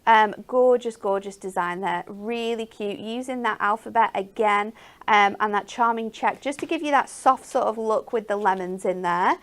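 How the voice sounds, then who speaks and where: A woman's voice: medium pitch, normal speed. A woman presenting craft products on a shopping show, enthusiastic and full of ums and restarts. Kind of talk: live shopping channel presenting.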